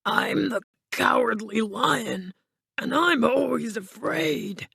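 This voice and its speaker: A woman's voice: fairly high pitch, expressive, slow, gravelly, a bit bright, slightly rough, dry recording, clean studio sound, voice pushed up, full character voice. A woman voicing a cowardly male lion in a storybook, fearful and plaintive as he longs for courage. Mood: timid pleading.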